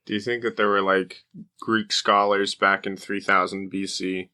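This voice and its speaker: A man's voice: sits low, little pitch movement, moderate pace, bright, forceful tone. A man co-hosting a podcast, chatting informally with slangy, joking reactions. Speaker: podcast co-host. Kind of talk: casual chat, reacting to co-host.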